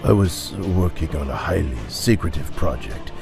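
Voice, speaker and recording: A man voicing a tall, half-ghost former scientist who speaks in a measured, courteous and reflective way, as in an audio story. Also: rumbling low, big pitch swings, gravelly, music in the background, pitched below the performer's own voice, exaggerated voice. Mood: reflective, confessional.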